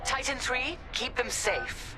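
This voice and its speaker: An actress playing a cool, exacting Imperial commander who gives crisp, reproving orders in a video game. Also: female voice, sits high, expressive, slow, very noisy. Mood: stern. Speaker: commanding officer.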